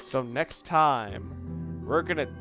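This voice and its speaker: A man's voice: mid-range voice, slow, over music. A man doing casual, unscripted game commentary, thinking aloud in a rambling way and often correcting himself. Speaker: let's player.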